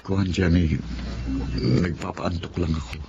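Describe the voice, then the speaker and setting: A man in a Filipino radio drama playing a kind, hard-working eldest brother, speaking in an earnest, gentle, halting way. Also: low-pitched, little pitch movement, creaky. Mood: subdued. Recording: muffled audio with loud background noise.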